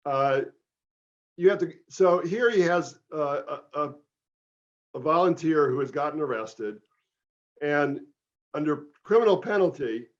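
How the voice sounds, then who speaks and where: An older man, an author, explains a historical court case in a lecture-style library talk, pausing with fillers and correcting himself as he goes. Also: medium pitch. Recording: dull lo-fi recording.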